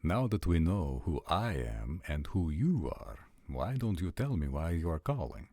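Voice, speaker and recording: A man playing a stern, imperious vampire prince with slow, formal questioning in a roleplay audio drama. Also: low-pitched, very animated, a little mellow, no room sound, studio quality, heavily acted.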